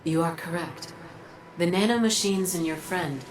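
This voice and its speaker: An actress playing a calm, solemn ancient female alien guide, formal and detached, in a video game. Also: fairly low pitch, moderate pace, slight background noise, lightly acted. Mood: solemn warning. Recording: echoing, distant, loud music underneath.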